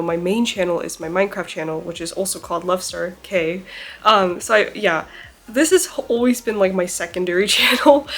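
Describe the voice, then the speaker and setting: A young woman vlogging casually to camera at home, chatty and bubbly with lots of laughs and fillers, her voice a little off from a recent illness. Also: medium pitch. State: recovering from illness, slightly off voice. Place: indoors at home by a plain wall.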